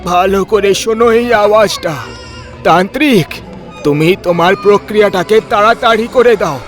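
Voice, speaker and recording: A man's voice: sits high, normal speed, soft background music, natural voice. A man voicing a stern, commanding old grandfather in a dramatic horror cartoon. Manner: authoritative, ordering, dramatic.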